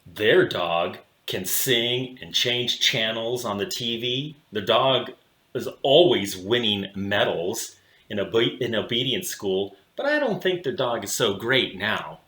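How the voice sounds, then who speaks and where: A man reading a children's picture book aloud expressively, with theatrical delivery and deliberate dramatic pauses. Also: lowish voice, expressive, sharp tone. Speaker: storybook reader for children. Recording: roomy, echoing room sound.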